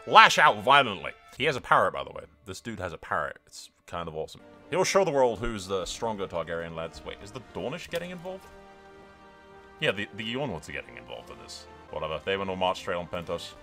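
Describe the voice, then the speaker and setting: An animated, fairly low-voiced man narrates a strategy game as theatrical role-play. His delivery is bright and forceful, sliding between storyteller drama and casual, sweary asides. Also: fast, faint music behind. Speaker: gaming video host.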